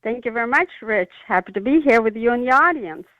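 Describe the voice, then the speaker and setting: A woman giving expert analysis as a radio interview guest, deliberate and emphatic, with halting phrasing. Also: on the high side, lively intonation, a bit bright, dry recording. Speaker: expert guest, former intelligence officer. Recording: dull, band-limited radio guest line.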